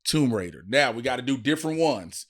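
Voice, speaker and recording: A man's voice: medium pitch, animated, a little fast, a bit bright. A man co-hosting a podcast in casual banter, emphatic, slangy and profane. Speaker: podcast co-host.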